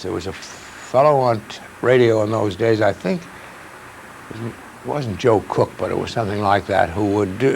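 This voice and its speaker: An older man, a veteran radio actor, reminiscing in an interview with measured, deliberate phrasing. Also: mid-range voice, gravelly, darkish tone. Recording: noticeable background noise.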